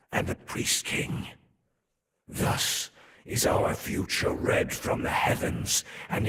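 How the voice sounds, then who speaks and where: Ancient serpent overlord, very slow voiceless whisper, solemn, haughty, echoing game lore narration. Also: male voice, fairly bright tone. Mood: solemn, reverent. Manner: solemn, imperious, archaic phrasing. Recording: echoing, faint background noise.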